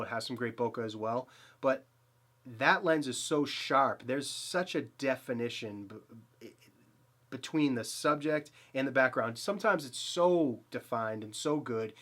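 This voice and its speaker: A man's voice: mid-range voice, fairly bright tone. Enthusiastic Boston man reviewing a lens. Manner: enthusiastic, rambling with restarts and fillers. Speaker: photography channel host. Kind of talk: casual gear review to camera. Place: small dim home studio indoors.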